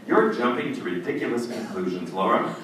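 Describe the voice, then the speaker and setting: A man playing an infatuated middle-aged judge in an old-time radio drama, wistful and evasive, speaking in a measured, formal, confessional way. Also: mid-range voice, very animated, a bit bright, slight background noise. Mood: defensive. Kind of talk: old-time radio drama acting and first-person narration. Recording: echoey, far-off stage recording with music.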